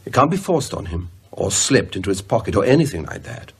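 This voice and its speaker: A man playing a pedantic, grave scholar in a radio drama, speaking in a husky, hesitant, deliberate way. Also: lowish voice, big pitch swings, heavily acted. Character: pedantic, grave male scholar delivering grim findings.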